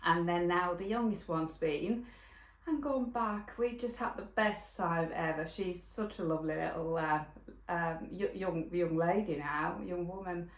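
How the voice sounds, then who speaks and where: A woman's voice: on the low side, a little slow, roomy sound. A woman in her forties with a Finnish accent, hosting a casual knitting podcast and chatting with lots of fillers and restarts. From Finland. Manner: rambling, with frequent fillers and restarts. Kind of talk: casual chat.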